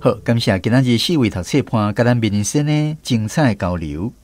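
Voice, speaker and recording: A man's voice: medium pitch, expressive, normal speed, darkish tone, no echo. A man with a Taiwanese accent presenting a radio program from a script as its host. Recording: dry studio sound, guitar music. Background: guitar music.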